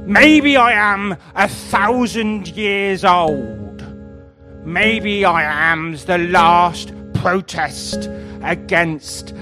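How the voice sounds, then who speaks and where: A forlorn, ancient forest figure with a pirate voice, declaiming extremely slowly over clear background music. The pitch sits fairly high for a man, and the delivery is deliberate and theatrical. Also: fairly bright tone, exaggerated voice. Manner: deliberate, declamatory, with mangled grammar. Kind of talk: slow theatrical monologue.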